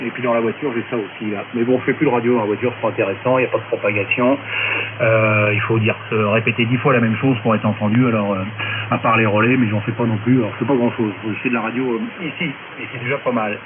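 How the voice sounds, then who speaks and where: A man's voice: medium pitch, steady tone, fast talker. A man in a casual, technical amateur radio chat, colloquial and matter-of-fact with hesitations, over a narrow, noisy shortwave link.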